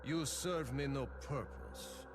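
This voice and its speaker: A man's voice: mid-range voice, wildly swooping pitch, creaky, a little hiss or hum, exaggerated voice. A man playing a cold, menacing cult leader in a video game cutscene. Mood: cold menace. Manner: slow, menacing. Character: cold, commanding male cult-leader villain.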